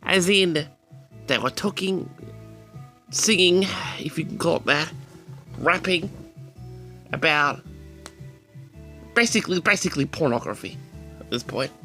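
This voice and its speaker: A man telling a story to his audience as a vlog host, in a theatrical, indignant manner with dramatic pauses. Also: fairly high pitch, animated, pushed and bright, soft background music. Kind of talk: storytelling monologue addressed to the audience.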